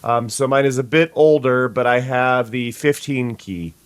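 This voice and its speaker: Brief: young sniffly streamer, mid-pitch man's voice, chatty with restarts, dry booth sound. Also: unhurried, clear, resonant tone, no room sound. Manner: chatty, stumbling restarts, greets viewers. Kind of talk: casual gameplay chat.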